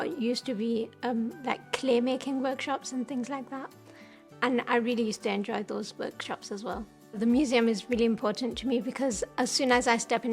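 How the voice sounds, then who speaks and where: A young woman in her late twenties with a somewhat high voice. She talks casually and affectionately, pausing on fillers now and then. Soft music plays underneath. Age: late 20s. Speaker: interviewee. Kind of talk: casual reminiscing.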